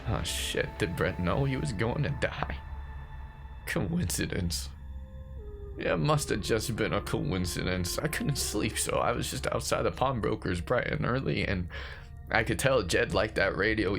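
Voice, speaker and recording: Casting: male actor as grieving, nervy man confessing into voice notes for a horror drama; low, creaky voice, stammers and second-guesses himself. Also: normal speed, music in the background. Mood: anxious, puzzled. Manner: jittery, stammering, self-questioning. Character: anxious grieving man, haunted older brother recording voice notes. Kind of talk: found-footage horror audio monologue, confessional voice notes.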